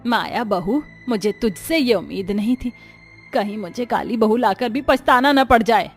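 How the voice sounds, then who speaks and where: A woman voicing a stern, proud older mother-in-law in an animated story, scolding in a sharp-tongued way. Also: fairly high pitch, normal speed, faint music behind.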